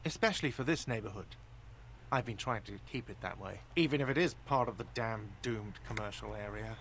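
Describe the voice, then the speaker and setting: An actor playing a grumpy middle-aged hostel manager in a video game, gruff and defensive, swearing now and then. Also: male voice, on the low side, a little fast, a bit bright, slight background noise. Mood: grumbling. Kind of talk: game voice acting.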